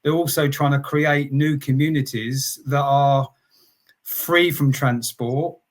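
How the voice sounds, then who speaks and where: A man in casual discussion, explaining in a hesitant, halting way. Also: mid-range voice, fairly even intonation.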